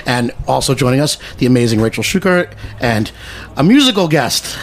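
A man's voice: medium pitch, expressive, normal speed. A man hosting a live radio show in casual banter, irreverent and freely profane.

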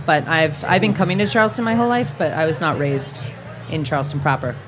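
A woman's voice: low-pitched, animated, fast talker. Woman, from South Carolina, podcast co-host, chatty, laughing, music behind. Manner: chatty, laughs often, upbeat. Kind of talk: casual chat.

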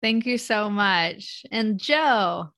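A woman's voice: mid-range voice, expressive, very slow, a bit bright, no echo, clean studio sound. A woman of about forty speaking enthusiastically as a podcast host. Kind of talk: upbeat podcast introduction and hosting.

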